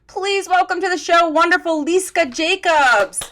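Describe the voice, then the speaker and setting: A woman's voice: extremely high pitch, moderate pace, noisy background. A woman co-hosting a podcast, chatting casually and telling stories with plenty of filler words and laughter. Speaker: podcast co-host.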